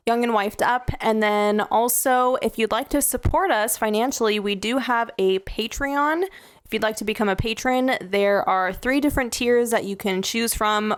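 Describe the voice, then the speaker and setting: A young woman co-hosting a podcast in relaxed casual chat, easygoing, with plenty of "like" and "um" and quick agreements. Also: a little high, clean tone. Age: young adult. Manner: easygoing, lots of fillers and short agreements. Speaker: podcast co-host.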